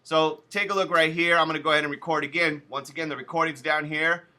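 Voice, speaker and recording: A man's voice: on the high side, steady tone, quick pace, pushed and bright. A male teacher walking students through a recording program step by step, chatty and casual with loose asides. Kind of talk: casual classroom software tutorial.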